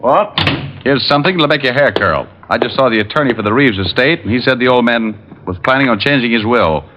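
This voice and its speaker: An actor playing a brash, wry private detective in an old-time radio crime drama. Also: male voice, medium pitch, expressive, sharp tone, a little hiss or hum. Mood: wry, insistent. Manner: wry, quick to theorize, hard-boiled. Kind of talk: 1940s-50s radio detective acting. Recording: muffled old radio recording with music.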